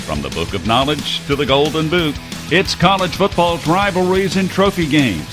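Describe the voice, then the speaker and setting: A man's voice: on the high side, very animated, moderate pace. A man announcing the scripted introduction of a sports show in a produced promo style. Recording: announcer over loud music bed.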